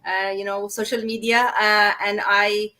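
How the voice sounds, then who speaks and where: A middle-aged woman hosting a casual chat, talking haltingly with many fillers and restarts. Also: mid-range voice, flat delivery, pushed and bright. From moved to Canada at seventeen. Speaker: host.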